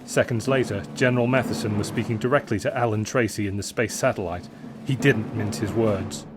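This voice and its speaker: A man's voice: lowish voice, fairly even intonation, a little fast, noisy background. Male narrator reading an action story, dramatic, engine ambience behind him.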